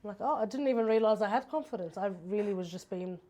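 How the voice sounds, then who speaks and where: Aussie woman, podcast guest, mellow voice, chatting casually and kinda reflective. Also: medium pitch, fast talker. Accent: Australian. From Sydney, Australia. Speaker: podcast guest, makeup artist. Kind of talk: casual conversational interview.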